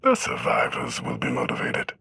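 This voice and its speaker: An actor playing a cold, menacing tyrant in a video game, terse and commanding, with a harsh raspy voice. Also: male voice, rumbling low, wildly swooping pitch, normal speed, bright, forceful tone, full character voice. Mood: cold anger. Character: cold, menacing male tyrant commanding knights to fight to the death.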